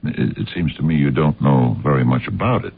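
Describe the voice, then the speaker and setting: Very deep, gravelly, dogged investigator. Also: male voice, lively intonation, heavily acted. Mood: probing, suspicious. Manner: halting, pressing questions with many ums. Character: gruff probing male sleuth, dogged and wary. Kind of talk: radio drama acting. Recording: muffled lo-fi radio drama recording.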